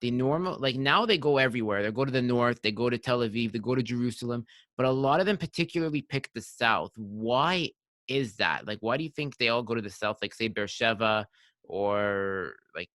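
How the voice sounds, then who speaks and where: A man of about thirty hosts a podcast, rambling through his questions with constant likes and restarts. His voice is bright and forceful, and the sound is close and dry, with no room echo. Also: mid-range voice, lively intonation, fairly quick. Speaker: podcast host. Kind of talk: casual interview chat.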